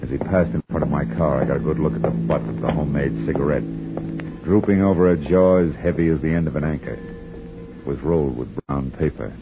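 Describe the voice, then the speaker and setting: A man's voice: a bass voice, fairly quick. An actor playing a dry, wisecracking male private detective, narrating and talking in a 1950s radio drama. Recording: muffled 1950 radio broadcast with music.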